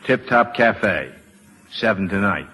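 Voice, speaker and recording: A cynical tough guy in a vintage radio play. His low voice is clipped and slangy, and he trusts nobody. It has the sound of an old broadcast. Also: lively intonation, slow pace, over loud music. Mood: curt, guarded. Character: curt, cynical, distrustful tough ex-soldier. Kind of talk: old-time radio drama acting.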